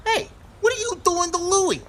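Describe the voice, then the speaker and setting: A man's voice: very high-pitched, moderate pace, pushed and bright. A man acting as a belligerent, easily rattled robber in an audio play, incredulous and gullible. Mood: alarmed.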